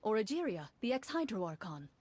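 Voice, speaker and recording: Game cast: a composed swordswoman, mid-pitched and even, calmly explaining. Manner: measured, matter-of-fact explaining. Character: calm, composed young female swordswoman and storyteller. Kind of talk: video game voice acting.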